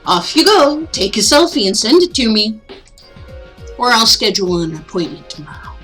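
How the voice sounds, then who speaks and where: A woman's voice: fairly high pitch, big pitch swings, moderate pace, a bit bright, faint music behind. Woman playing a breezy, teasing male school counselor. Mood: mock-grand, playful. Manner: teasing, mock-grand, casual. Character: breezy, wisecracking male school counselor, playful slacker mentor.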